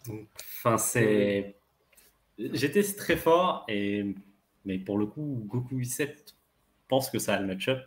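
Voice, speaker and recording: A man with a fairly low voice talks through card game strategy step by step, circling back and restarting his sentences as he goes. His voice carries a noticeable roomy echo, as if he is sitting back from the mic in a bare room. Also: expressive, takes it slowly, long pauses. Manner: explains plays step by step, trailing restarts. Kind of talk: casual strategy discussion.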